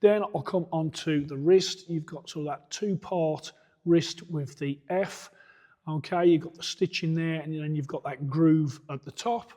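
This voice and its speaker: A hoarse-voiced man, sitting a little high in pitch, works through a product review step by step. His tone is mellow and soft-edged, never sharp. He sounds like a coach talking plainly to viewers. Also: unhurried. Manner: methodical, plain-spoken, point by point. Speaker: boxing coach reviewing equipment.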